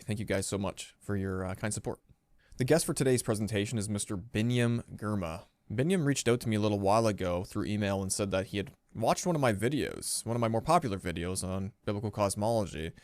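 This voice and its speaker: A man's voice: fairly low pitch, dry recording. A man hosting a channel, introducing and chatting with a guest in a courteous, appreciative manner. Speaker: channel host. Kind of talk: scripted-sounding channel introduction and friendly guest chat.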